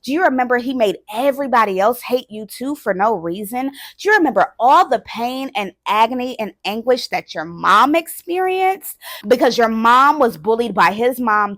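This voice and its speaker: A woman's voice: fairly high pitch, a bit bright, no room sound. A woman voicing a relentless party guest who harps on painful memories with insistent, repetitive questions.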